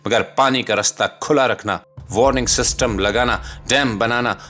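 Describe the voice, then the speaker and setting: A man's voice: mid-range voice, moderate pace, bright, forceful tone. A man with a Pakistani accent reads a scripted documentary-style commentary in a solemn, emphatic, rhetorical way. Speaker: host and narrator of a commentary channel. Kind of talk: scripted dramatic documentary voice-over. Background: background music.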